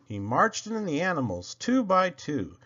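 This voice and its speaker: Male storyteller for children's verse: unhurried, very lively pitch swings, theatrical phrasing, slightly dull lo-fi recording. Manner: animated, theatrical, drawn-out phrasing. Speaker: children's poetry reader. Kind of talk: expressive read-aloud of children's verse.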